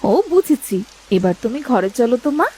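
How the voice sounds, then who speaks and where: Woman playing a very animated young village wife. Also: a little high, a little fast, slight background noise. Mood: concerned. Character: practical young village wife, caring daughter. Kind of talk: cartoon dialogue.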